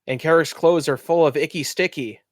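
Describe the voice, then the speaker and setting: A man's voice: fairly high pitch, brisk, no room sound, clean studio sound. A man acting as game master, casually narrating a tabletop roleplaying game and calling for rolls.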